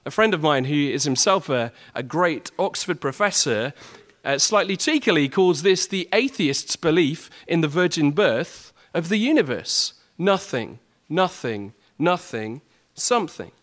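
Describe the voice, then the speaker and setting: Earnest young man, fairly high voice. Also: very animated, unhurried, a bit bright. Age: young adult. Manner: earnest, builds with rhetorical questions. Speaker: student speaker. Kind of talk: prepared talk to a student audience.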